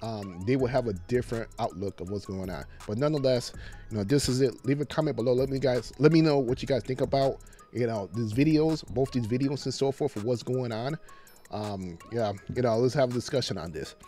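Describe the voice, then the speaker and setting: A man in his thirties hosts a laid-back commentary, speaking loosely with lots of fillers and restarts. He records into a studio microphone at home. Soft music sits faintly under his voice. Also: mid-range voice, a little fast. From United States. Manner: relaxed, conversational, many fillers. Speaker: host. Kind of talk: casual commentary. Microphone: studio mic on boom, headphones.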